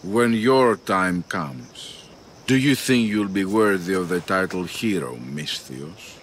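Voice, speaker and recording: An actor playing a proud older arena patron in a video game cutscene, speaking in a measured, coaxing way with dramatic pauses. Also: male voice, low-pitched, animated, a little hiss or hum. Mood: persuasive.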